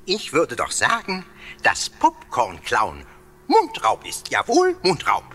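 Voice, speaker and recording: An actor playing a cheeky, playful little ghost in a children's audio drama, theatrical and full of self-justification. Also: male voice, fairly high pitch, huge pitch swings, takes it slowly, pushed and bright, slightly rough, exaggerated voice. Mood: cheeky, self-important.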